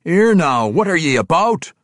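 Blustery dwarf king guy doing the voice, suspicious and challenging, pitched up, fantasy tale. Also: expressive, moderate pace, fairly bright tone, dry recording, clean studio sound. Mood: demanding. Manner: incredulous, challenging, rustic old dialect. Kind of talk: fantasy audiobook character voice.

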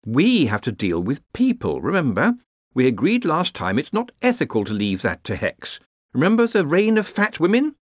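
A harried male academic wizard from a storybook, acted by a male reader. He explains things in an earnest, officious, fussy voice that sits high and moves around a lot. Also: wildly swooping pitch, normal speed, no room sound, studio quality. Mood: weary, patient.

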